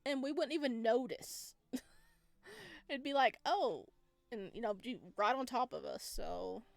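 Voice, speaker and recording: A woman's voice: fairly high pitch, lively intonation, slow, gravelly. A woman giving chatty, playful commentary on a playthrough, reading lines aloud as she goes. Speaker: game streamer. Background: game music.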